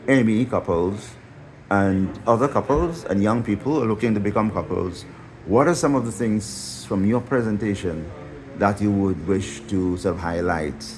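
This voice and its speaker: A man with a somewhat low voice conducts an interview, often restarting his questions and stumbling over repeated words. A faint hiss sits behind his voice. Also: normal speed, a little mellow, poor audio. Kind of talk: news interview.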